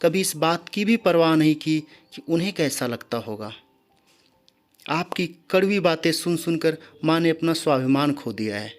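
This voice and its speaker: Man voicing a determined young daughter, highish voice. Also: animated, a little slow. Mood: hurt, accusing. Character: outspoken young adult daughter, hurt but determined. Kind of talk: storybook character dialogue. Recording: background music under the voice.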